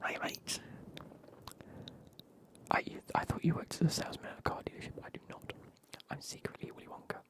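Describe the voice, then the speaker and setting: A man's voice: brisk, pauses often. A man, whispering as he banters with his live stream chat and swears now and then.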